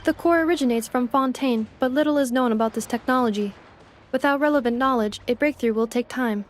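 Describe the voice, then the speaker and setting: A woman's voice: high-pitched, fairly quick, lightly acted. A woman voicing a polite, literal-minded robot assistant in a video game, formal, precise and composed. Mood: informative.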